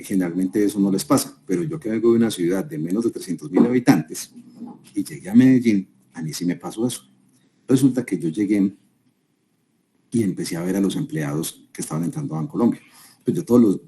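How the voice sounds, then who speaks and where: A man's voice: sits low, warm tone, a little hiss or hum. A man from Colombia with a Colombian accent, giving a conversational talk to a live audience and asking them rhetorical questions. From Colombia, Quindío. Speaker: speaker at a business seminar.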